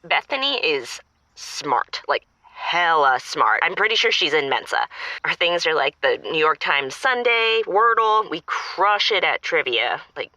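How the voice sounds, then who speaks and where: A man's voice: fairly high pitch, big pitch swings, unhurried, bright, forceful tone, no echo, full character voice. A man playing an earnest, smitten caller to a radio love show, speaking casually over a dull call-in line. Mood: earnest, proud. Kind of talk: casual, conversational audio comedy acting.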